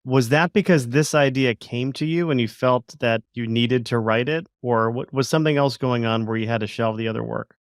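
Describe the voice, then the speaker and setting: A man hosting a podcast, asking a guest measured, curious questions. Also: mid-range voice, no echo, studio quality. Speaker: podcast host. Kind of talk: interview questions.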